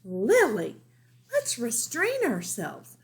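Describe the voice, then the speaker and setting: A woman voicing a patient, encouraging mother mouse in a children's storybook, gently coaxing. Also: on the high side, huge pitch swings, takes it slowly, pitched above the performer's own voice, full character voice. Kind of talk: read-aloud children's storybook.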